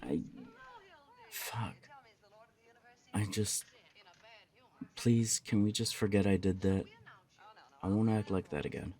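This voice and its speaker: Man acting a deep-voiced, creaky jealous punk roommate. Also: expressive, mellow, heavily acted. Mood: apologetic, subdued. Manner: confessional, halting, swearing, emotionally worked up. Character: jealous young punk roommate, lovesick, flustered, hot-tempered. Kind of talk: ASMR roleplay audio drama, one side of a dialogue.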